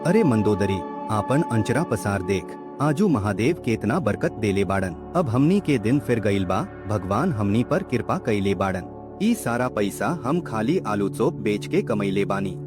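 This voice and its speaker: Cartoon village vendor, male actor, Bhojpuri accent: humble, devout, happy husband, lowish voice with big swings, music underneath. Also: very animated, a little slow. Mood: joyful, excited. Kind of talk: animated moral story dialogue.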